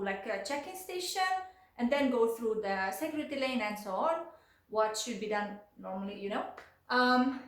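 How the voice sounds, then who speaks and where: A woman's voice: fairly high pitch, fairly bright tone, roomy sound. A young woman with a Hungarian accent, telling a casual storytime vlog in English and filling her talk with "like" and "you know". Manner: chatty, lots of filler "like" and "you know". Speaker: vlogger.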